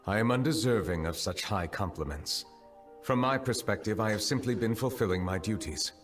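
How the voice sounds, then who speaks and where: Guy voicing a deep voiced formal judge in a game, grave n polite, very reserved, bit of music behind. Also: moderate pace, lightly acted. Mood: humble, earnest. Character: grave, courteous, humble chief justice, reserved man. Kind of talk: video game voice acting.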